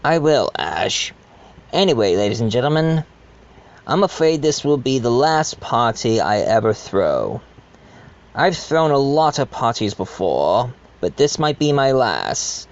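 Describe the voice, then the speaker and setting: Male actor as wealthy scheming host, slow deliberate delivery, lively pitch, formal courtesy, slightly dull audio drama recording. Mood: genial. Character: genial scheming wealthy male host of a murder-mystery party. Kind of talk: amateur audio drama acting, part narration.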